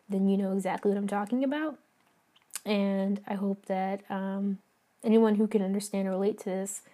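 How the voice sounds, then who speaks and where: A hoarse woman hosting a podcast, reading her own poem and talking it over in a soft, halting way with many fillers. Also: mid-range voice. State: hoarse from singing.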